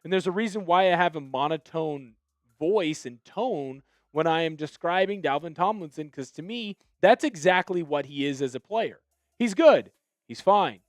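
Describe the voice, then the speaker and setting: A man hosting a solo sports news video, giving opinionated commentary that now and then bursts into animated excitement. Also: a little high, moderate pace, no echo, studio quality.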